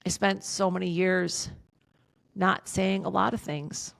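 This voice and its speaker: A woman in her fifties, a podcast guest, talking candidly in an outspoken, emphatic way with a loud voice, swearing freely. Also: fairly low pitch, steady tone, slow, vocal fry, no echo. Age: 50s. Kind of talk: candid casual conversation.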